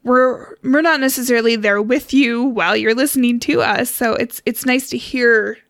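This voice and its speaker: A woman's voice: fairly high pitch, steady tone, normal speed, fairly bright tone, dry recording, clean studio sound. A woman co-hosting a podcast in casual, informal conversation with a giggly manner. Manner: informal, giggly, mildly sweary. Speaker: podcast co-host.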